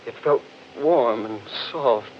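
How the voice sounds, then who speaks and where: An actor playing an excitable man spooked in a haunted forest, with halting dramatic delivery, on a muffled 1940s radio recording. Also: mid-range voice, slight background noise, natural voice. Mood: spooked, frantic.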